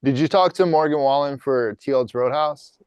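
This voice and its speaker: A man's voice: medium pitch, normal speed, darkish tone, clean tone, no room sound. A man hosting an interview, asking questions in a casual, conversational way. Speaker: interview host.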